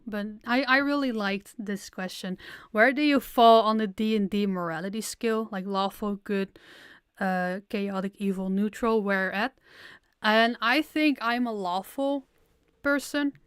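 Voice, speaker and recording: Young woman, chatty and self-deprecating, smooth clear voice, dry sound. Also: mid-range voice, fairly even intonation, unhurried, dry recording. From Netherlands. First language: Dutch. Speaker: audio creator streaming a Q&A. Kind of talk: casual livestream Q&A.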